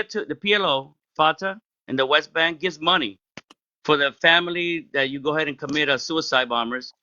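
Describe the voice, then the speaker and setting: A man arguing loudly and emphatically in a heated live-stream debate, interrupting often, with a loud voice he admits to. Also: fairly high pitch, no echo, highs cut off. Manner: loud, interrupting, emphatic, non-native grammar. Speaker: debate caller.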